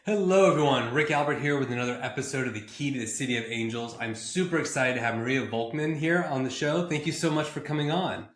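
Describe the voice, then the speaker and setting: A man's voice: mid-range voice, fast, few pauses, faint music behind. A man hosting an interview in a casual, conversational way. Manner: informal, conversational. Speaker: podcast host. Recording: roomy, echoing sound.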